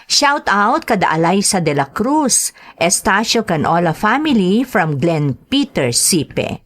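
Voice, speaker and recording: Woman, Ilocano Filipino accent, radio host, lowish pitch, very animated intonation, reading aloud. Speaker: radio drama host and narrator. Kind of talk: reading greetings and a listener's letter.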